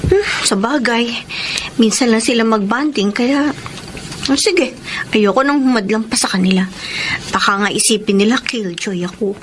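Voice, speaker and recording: A woman's voice: a little high, normal speed, a little hiss or hum, natural voice. A woman playing an earnest young heroine with a Filipino accent, acting and narrating her own story emotively in a radio drama. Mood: reflective. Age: young adult. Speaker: lead actress and narrator.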